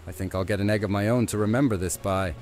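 A man's voice: on the low side, fairly even intonation, fast talker, darkish tone, soft background music, natural voice. A man playing a curious, approving festival spectator in a fantasy game. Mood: surprised, approving.

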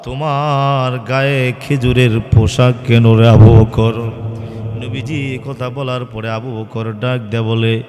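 A man delivering an impassioned Islamic sermon, half-chanting the story with drawn-out singsong phrases that swell into loud cries. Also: medium pitch, fairly even intonation. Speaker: Islamic preacher. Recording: long hall reverb, faint music.

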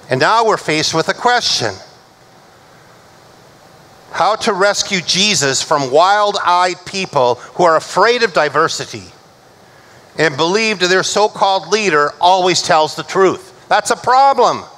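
A middle-aged male pastor delivering a measured, emphatic sermon from a church pulpit. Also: sits high, bright, forceful tone, low-quality recording. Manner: measured, emphatic preaching, slowing for recited scripture.

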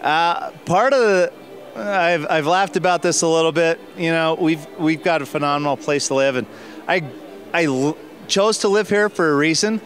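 A middle-aged man with a somewhat high voice speaks earnestly, pausing and restarting as he thinks. He talks into a handheld mic held out to him in a busy lobby. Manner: earnest, reflective, a little halting. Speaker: interviewee. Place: theater lobby, indoors. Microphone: handheld mic held by interviewer.

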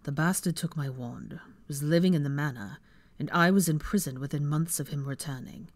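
A woman voicing a composed, aristocratic older male wizard in an audiobook story, speaking in a calm, measured way. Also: low-pitched. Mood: grim, uneasy.

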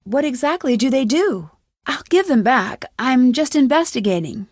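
A young woman playing an inquisitive, earnest young female detective in a video game, speaking in a clear, measured way. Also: high voice, expressive, no room sound, clean studio sound. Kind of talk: scripted video game voice acting.

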